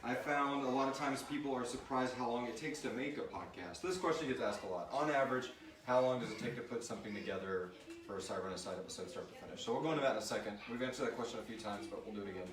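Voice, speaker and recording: A man's voice: medium pitch, fast. A man hosting a casual live question-and-answer session, rambling informally and thinking aloud as he talks. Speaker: host and show creator reading listener questions. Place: live group recording in an echoey room. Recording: echoey room, distant mic, clear background noise.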